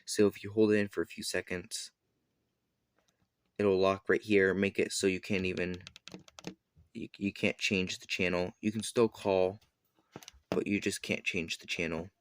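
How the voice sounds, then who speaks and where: A man with a fairly low voice talks through a product review in an informal, unscripted way. He explains step by step, a little haltingly, often backing up to correct his wording. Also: flat delivery, no room sound. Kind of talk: casual unscripted product demonstration.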